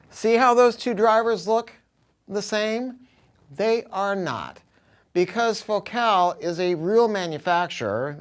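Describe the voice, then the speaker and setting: An American man giving an informal, off-the-cuff product pitch as a dealer, talking in an emphatic, slangy, salty way. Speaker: audio dealer presenting a product. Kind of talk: informal product review, off-the-cuff sales talk.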